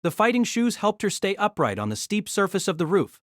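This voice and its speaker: A woman's voice: mid-range voice, very animated, a little fast, dry recording, studio quality. A woman narrating a novel in a scripted, dramatic storytelling style.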